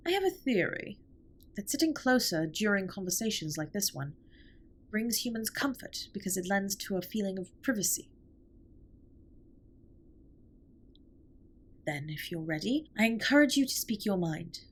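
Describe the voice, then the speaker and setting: A woman playing a formal, caring alien spaceship captain in an intimate audio drama, speaking in a measured, precise way with a breathy, husky voice. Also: mid-range voice, big pitch swings, lightly acted. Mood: gentle, attentive. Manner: formal, measured, precise wording with few contractions. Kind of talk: scripted roleplay audio drama, intimate comfort monologue.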